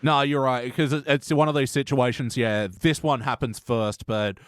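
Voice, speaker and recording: A man's voice: mid-range voice, fairly even intonation, moderate pace, clean tone, no room sound, studio quality. A man hosting a podcast review in a casual, conversational style. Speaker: podcast host leading a panel review. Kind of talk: casual chat.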